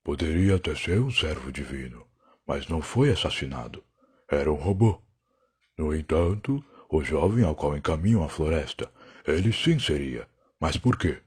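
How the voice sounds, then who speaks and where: A Brazilian man playing a weary, defiant alien time-traveler in an audio drama, husky and gravelly, with a brooding, sarcastic, theatrical delivery. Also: a bass voice, lively intonation, moderate pace, a little mellow, full character voice. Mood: sardonic. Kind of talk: dramatic first-person audio drama narration.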